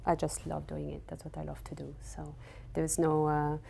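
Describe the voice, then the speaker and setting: A woman's voice: low voice, monotone, normal speed, gravelly, warm tone, slight background noise. A woman with a French accent, raised in West Africa, answering interview questions hesitantly with many fillers. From raised in Senegal and Cameroon. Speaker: textile artist guest. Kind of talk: casual interview. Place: her studio.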